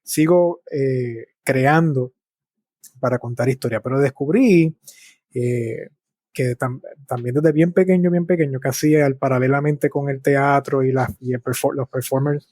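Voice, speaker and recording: A man's voice: mid-range voice, a little slow, a little mellow, dry recording, studio quality. A man from Puerto Rico with a Puerto Rican accent, talking reflectively and conversationally as an interview guest. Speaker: interview guest, speaker and artist.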